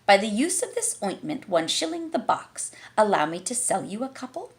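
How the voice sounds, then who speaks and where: A woman's voice: mid-range voice, sing-song, normal speed, close to an everyday voice. Jaunty old Father William, read by a woman. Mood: boastful. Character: spry, boastful old man in a comic poem.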